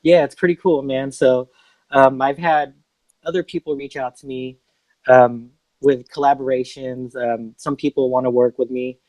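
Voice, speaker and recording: A relaxed man from the Bay Area chats as a guest, easing into his points with plenty of ums and restarts. His voice sits at an ordinary pitch. The sound is dry and close, with no room around it. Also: moderate pace, dry recording, rough recording. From San Francisco Bay Area. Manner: laid-back, hesitant, many fillers and restarts. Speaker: guest music producer. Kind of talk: casual podcast chat.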